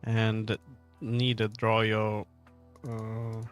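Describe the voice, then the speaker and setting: A man's voice: on the low side, completely flat delivery, very slow, clear, resonant tone. A man chatting casually on a livestream while he works, rambling, thinking aloud and often trailing off mid-sentence. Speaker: livestreamer, game developer. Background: background music.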